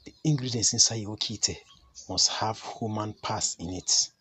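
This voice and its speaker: A low-voiced man speaks as a chief priest teaching his viewers, his voice a little hoarse from a cold. His tone is bright and forceful, and he talks in an earnest, repetitive way, checking in with a questioning "hmm?". A faint hiss of noise sits under the recording. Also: normal speed. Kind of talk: talking-head teaching to camera.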